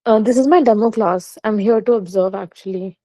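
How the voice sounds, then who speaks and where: Soft-spoken woman student on a video call. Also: medium pitch, brisk, no echo. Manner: brief, hesitant replies and repetition. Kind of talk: online language lesson.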